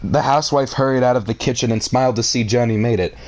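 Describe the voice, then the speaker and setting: A man's voice: medium pitch, steady tone, fast talker, a little mellow. A man reading a story aloud as a comedic reader, adding exasperated, sarcastic commentary in a theatrical way.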